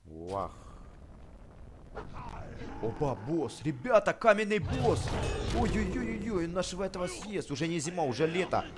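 A man giving excited, chatty game commentary for children, exclaiming, repeating words and addressing his viewers. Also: sits high, moderate pace, music in the background. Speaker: gaming channel host.

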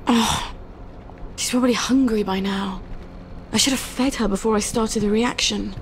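An actress plays a young British mother in a video game, her voice pitched high. She is sweetly polite and a little flustered, coaxing gently and muttering to herself. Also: fairly even intonation, moderate pace, a little hiss or hum, natural voice, low-quality recording. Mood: preoccupied. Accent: British English.

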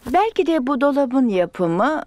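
A woman's voice: high voice, big pitch swings, takes it slowly, noisy background, exaggerated voice. A woman voicing a kindly, confident mummy pig in a preschool cartoon. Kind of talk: Turkish-dubbed preschool cartoon acting.

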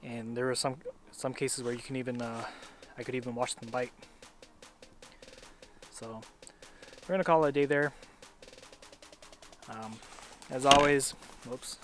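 A man's voice: mid-range voice, a little slow, pauses often, soft background music. A young man with a Minnesotan accent, casually talking to his viewers from a riverbank, with lots of ums and uhs. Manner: relaxed, chatty, many fillers. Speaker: fishing vlogger. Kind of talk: casual outdoor fishing vlog. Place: outdoors by a river. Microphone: head-mounted action camera.

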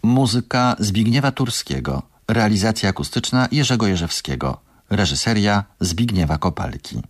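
A man's voice: lowish voice, sing-song, normal speed, no room sound, clean studio sound. A man reading a scripted radio announcement of credits in a formal, articulated manner.

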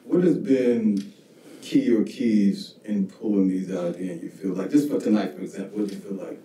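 Reporter, man, dark mellow voice, roomy and off-mic, halting questions. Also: on the low side. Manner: rambling questions with fillers. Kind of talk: press conference questions. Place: press conference room. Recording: roomy, distant from the mic, faint noise.

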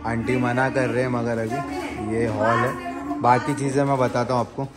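A man's voice: medium pitch, flat delivery, normal speed, loud music behind the voice. A man talking casually to viewers as a travel vlogger, mixing in English phrases. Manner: informal Hindi mixed with English phrases. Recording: noisy outdoor recording with vehicle sounds.

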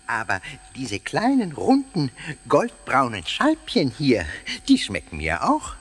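An actor playing a cheeky, playful, excitable ghost in a children's radio play, theatrical and husky, tripping over his words. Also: mid-range voice, slow pace, exaggerated voice. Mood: chatty, playful. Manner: theatrical, stammering over words, muddling them. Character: cheeky, playful, excitable old castle ghost, greedy for snacks.

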